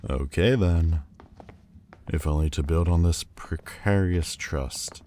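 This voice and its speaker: A man playing a proud, wounded demon lord in an audio roleplay, guarded but vulnerable, with halting, stiffly formal, wry delivery. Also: rumbling low, dark tone, slight background noise. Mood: reluctant, guarded. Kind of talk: intimate audio roleplay acting. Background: ambient sound effects.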